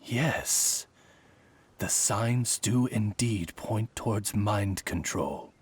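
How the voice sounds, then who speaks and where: Very husky, learned retainer. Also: male voice, on the low side, very slow, exaggerated voice. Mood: analytical. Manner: precise, detached. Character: formal, analytical retainer with learned speech. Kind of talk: video game voice acting.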